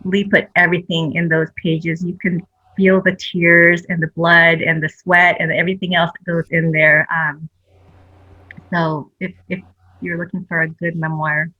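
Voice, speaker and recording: A woman's voice: fairly low pitch, flat delivery, moderate pace, bright, forceful tone, no echo, low-quality recording. A woman speaking casually as a guest novelist in a panel conversation. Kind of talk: casual chat.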